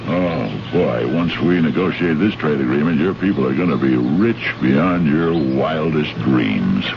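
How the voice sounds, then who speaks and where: An American actor playing a brash, greedy, condescending trade envoy from Earth in an old radio drama, talking in slangy, bullying fashion. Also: male voice, very deep voice, lively intonation, unhurried, gravelly, exaggerated voice. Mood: pushy, menacing. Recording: noisy, muffled old radio recording.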